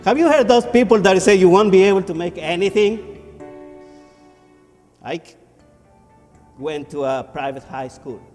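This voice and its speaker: A man's voice: sits high, unhurried, long pauses, darkish tone, in a large hall, faint music behind. A man from Ecuador, giving a motivational speech as emphatic storytelling with repeated phrases. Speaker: motivational speaker.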